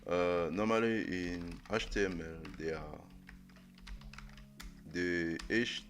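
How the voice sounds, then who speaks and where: A young man live-streaming a coding lesson, thinking aloud in a halting way with many fillers and restarts. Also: low voice, steady tone, fairly bright tone. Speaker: coding livestreamer. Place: indoor room at a desk. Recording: music playing under the voice. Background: music playing and keyboard typing.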